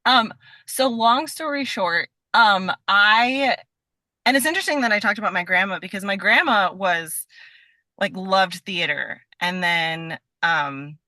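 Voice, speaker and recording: A bright, forceful female voice with a dry, close sound. She chats casually as a podcast guest, telling stories in an animated, giggly manner and slipping into quoted asides. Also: mid-range voice, a little slow, no room sound. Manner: giggly, breaks into laughter. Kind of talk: casual interview chat.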